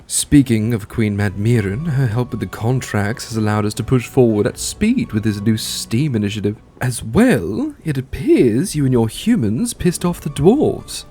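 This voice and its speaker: A man playing a measured, formal and dry master sergeant of a fantasy race in an audiobook. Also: medium pitch, expressive, warm tone, close to an everyday voice. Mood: dry, composed. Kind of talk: audiobook character acting.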